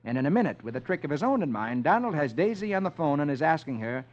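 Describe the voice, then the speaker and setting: Animated male vintage radio storyteller. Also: fairly high pitch, quick pace. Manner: dramatic storyteller. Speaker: host and narrator reading comic strips to a child. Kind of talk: 1950s children's radio narration. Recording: muffled old radio recording, music behind.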